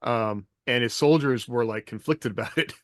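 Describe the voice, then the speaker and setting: A man chats casually on a panel, relating an anecdote. His voice is of middle pitch and recorded very dry. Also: normal speed, no echo.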